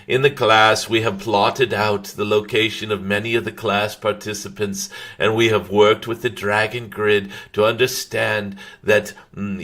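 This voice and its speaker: Casting: male performer as a benevolent golden dragon spirit, low-pitched, very slow and halting, ceremonial phrasing, humming between phrases, spiritual live-stream channeling. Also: fairly bright tone. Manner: halting, with frequent drawn-out hums.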